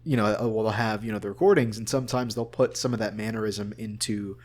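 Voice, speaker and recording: A man's voice: fairly low pitch, quick pace, a little mellow. A man speaking as a voice actor in a casual interview, in an earnest, rambling way.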